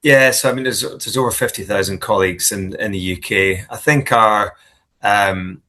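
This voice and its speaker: A man with a Scottish accent, in his thirties, answering interview questions in a friendly, halting way with frequent restarts. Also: fairly low pitch, sharp tone. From Scotland. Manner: friendly, hesitant, many restarts and fillers. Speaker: guest, business leader. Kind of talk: casual interview conversation.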